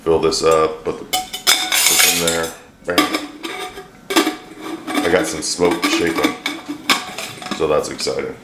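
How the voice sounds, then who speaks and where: A middle-aged man with a deep, slightly hoarse voice, chatting and joking through a home cooking vlog. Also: fairly even intonation, slow pace, pauses often. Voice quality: deep, a little hoarse from a throat bug. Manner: joking, rambling, dry self-deprecating humor. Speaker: vlogger, home cook. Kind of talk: casual cooking vlog chat. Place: home kitchen. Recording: echoey kitchen, faint hiss.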